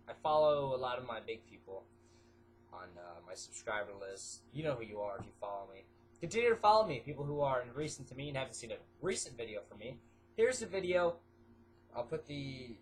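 A man's voice: mid-range voice, slight background noise, poor audio. A teenage boy with a stuffy nose vlogging casually to a webcam in his small bedroom, rambling with lots of fillers and jumping from topic to topic. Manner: rambling, filler-heavy, jumps between topics. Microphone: webcam at desk.

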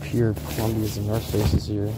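A man's voice: fairly low pitch, monotone, normal speed. A man giving casual, off-the-cuff vlog commentary in short, slangy phrases as he sorts through things. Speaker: vlogger, vintage clothing reseller. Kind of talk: casual off-the-cuff commentary while sorting clothes. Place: large indoor thrift warehouse. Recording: clear background noise of a warehouse.

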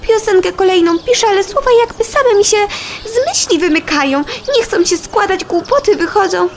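A woman's voice: extremely high pitch, expressive, normal speed, background noise. A woman voicing a dreamy little female fly who composes songs, earnest and flustered, in a children's audio play. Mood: rueful, friendly. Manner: earnest, flustered, self-critical.

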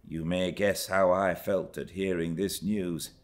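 A middle-aged British man reading a classic novel aloud as an expressive narrator. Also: sits low, unhurried. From Britain. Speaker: audiobook narrator. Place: armchair by a fireplace in a living room.

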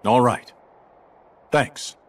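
A man voicing a brisk, good-natured soldier captain in video game acting. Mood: dutiful.

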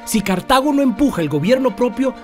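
An actor playing a resolute, concerned colonial town leader in a radio drama, emphatic and deliberate. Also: male voice, very high voice, expressive, a little mellow, faint music behind.

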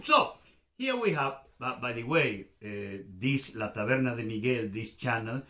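A man's voice: mid-range voice, animated, measured pace, pushed and bright. A middle-aged Venezuelan man whose first language is Spanish, speaking English with a Spanish accent as a YouTube host, hesitant with many fillers and restarts, at a desk in a room at home. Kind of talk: casual explainer to camera. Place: home room with shelves, indoors. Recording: roomy echo.

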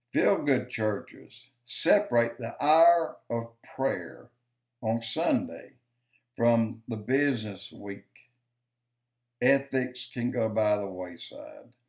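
Slow, emphatic older man preaching. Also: lowish voice, darkish tone. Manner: slow, emphatic, lists points and repeats for stress. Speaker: video commentator. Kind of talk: preaching-style commentary to camera. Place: home office, indoors.

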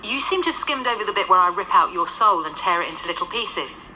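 An actress playing a centuries-old ghost nun in a radio drama, cool, dry and quietly menacing. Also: female voice, fairly low pitch, lively intonation, fast talker, a little hiss or hum, poor audio. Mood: calm, dry. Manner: cool, matter-of-fact, quietly threatening.

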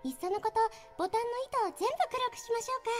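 A woman's voice: very high-pitched, expressive, rapid-fire, music in the background, pitched above the performer's own voice. A woman voicing a devoted, possessive teenage girl in an anime drama, polite but intense and menacing. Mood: sweetly insistent. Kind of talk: anime audio drama acting.

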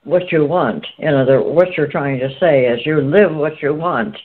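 A woman hosting a conversational discussion, speaking in a halting, deliberate way with emphasis on key words. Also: low voice, narrow, tinny audio. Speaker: host. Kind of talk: conversational discussion, putting questions.

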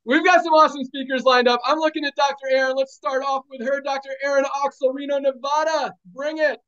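A high-pitched man in his forties speaks over a webcam on a video call, pumping up his team with repeated rallying cries. His audio is a little dull and lo-fi. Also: fairly even intonation, fairly quick, a bit bright. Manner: rallying, repetitive hype phrases. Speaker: host of a sales team call. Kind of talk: energetic motivational call. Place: home room, video call.

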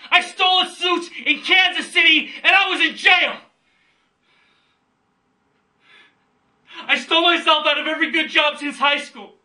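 A man's voice: sits high, bright, forceful tone, heavily acted. A man performing a theatrical monologue as an anguished, defiant grown son confronting his father, in an impassioned delivery. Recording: distant microphone.

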